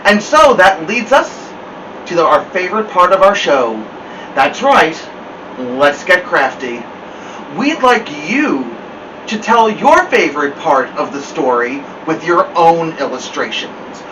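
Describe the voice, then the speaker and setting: Expressive high-voiced man reading stories, distant mic. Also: takes it slowly, noisy background. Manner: expressive, playful, addressing listeners directly. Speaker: host of a children's story show. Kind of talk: animated read-aloud storytelling with chatty asides.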